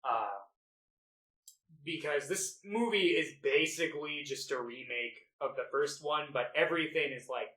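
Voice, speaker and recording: A man with a fairly high voice co-hosts a casual movie podcast. He rambles enthusiastically and swears freely. The room around him gives the recording a clear echo. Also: unhurried, bright, forceful tone. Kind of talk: casual chat about favorite films. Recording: roomy, echoey home podcast recording.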